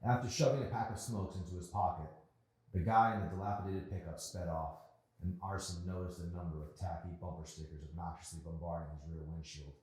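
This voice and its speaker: A man narrating his own novel aloud in an expressive storytelling style. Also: low-pitched, brisk. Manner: expressive, acts out dialogue. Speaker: author reading own novel. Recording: very echoey room.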